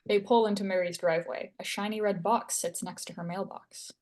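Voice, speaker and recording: A woman's voice: mid-range voice, steady tone, brisk, a little mellow. A woman reading stage directions and scene headings as narrator at a screenplay table read.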